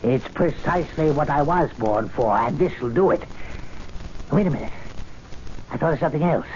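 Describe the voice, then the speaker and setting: An actor playing an indignant, fussy, excitable club servant in an old radio drama, given to muttering and theatrical outbursts. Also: male voice, on the high side, normal speed, natural voice. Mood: scheming, excited. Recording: muffled, noisy old radio drama recording.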